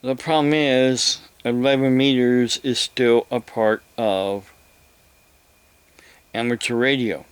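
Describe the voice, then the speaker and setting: A man talks in a casual, rambling way, explaining things at a slow pace. His voice is very smooth and clear, with a halting, drawn-out delivery. The sound is dry and close, with hardly any room to it. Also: mid-range voice, fairly even intonation, no echo. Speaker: vlogger.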